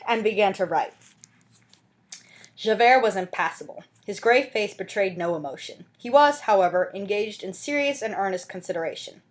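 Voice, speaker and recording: A woman's voice: mid-range voice, animated, moderate pace. A woman reading a classic novel aloud as an amateur audiobook narrator, with dramatic scripted delivery.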